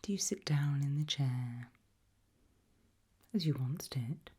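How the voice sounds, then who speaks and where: Slow, breathy British woman whispering. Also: very deep voice, warm tone. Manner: slow, deliberate, lingering questions with long pauses. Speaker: ASMR roleplay performer. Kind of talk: whispered ASMR roleplay.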